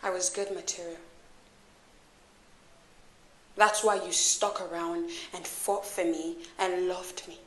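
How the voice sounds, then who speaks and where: An actress plays a hurt and scornful young woman in a dramatic film monologue. Her voice sits fairly high and rings in an echoey room. She accuses her lover with raw, profane intensity. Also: measured pace, a bit bright. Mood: quiet hurt. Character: wounded, furious young woman confronting her filmmaker lover.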